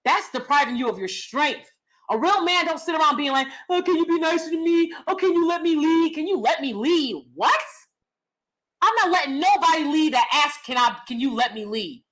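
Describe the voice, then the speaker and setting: A young adult woman hosting a casual commentary vlog, loud and blunt, cursing freely. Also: sits high, animated, normal speed. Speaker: vlog host.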